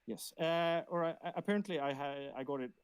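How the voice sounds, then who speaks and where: A man co-hosting a casual livestream, speaking in a halting way and often repeating his words. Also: a little high, smooth, no echo. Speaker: livestream co-host reading backer questions.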